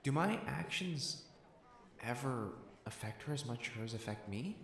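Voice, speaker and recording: A man's voice: medium pitch, lively intonation, a little slow, vocal fry, fairly bright tone. A man playing a proud, possessive noble fiancé in a romantic audio roleplay, haughty and formal in speech and easily flustered. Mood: wistful, tender.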